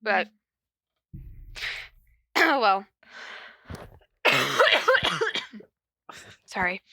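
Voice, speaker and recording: Bright-voiced woman reading a story aloud. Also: mid-range voice, huge pitch swings, extremely slow, creaky, no echo. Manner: self-deprecating. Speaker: podcast host reading fan fiction aloud. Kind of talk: cold-read story narration with casual asides.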